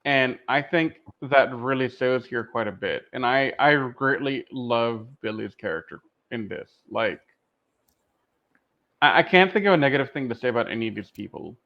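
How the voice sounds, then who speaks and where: Slightly muffled man making deliberate, emphatic points. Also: mid-range voice, expressive. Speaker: podcast co-host. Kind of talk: casual fan review discussion. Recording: slightly dull, lo-fi microphone sound.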